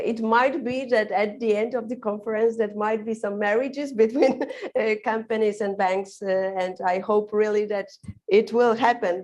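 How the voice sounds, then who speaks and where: A woman's voice: medium pitch, darkish tone. A woman moderating a conference panel, speaking hesitantly with many fillers as she puts questions to a guest. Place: conference stage.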